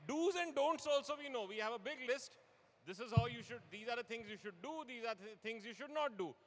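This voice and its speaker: A man's voice: extremely high pitch, animated, a little fast. A man in his forties with an Indian accent, moderating a live panel with teasing, self-deprecating humour. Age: 40s. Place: large indoor hall with audience. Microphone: event microphone. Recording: echoey hall, live event PA.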